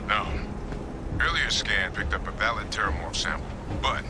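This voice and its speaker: An actor playing a practical squad member in a video game, with loud music underneath. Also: male voice, fairly low pitch, animated, normal speed, very noisy, natural voice.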